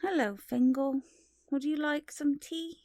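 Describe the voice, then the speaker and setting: A woman plays a tender long-lost mother in an audio drama. Her fairly high voice is close and dry, gently maternal and knowing, with quiet reproach. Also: fairly even intonation, measured pace, no room sound. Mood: affectionate.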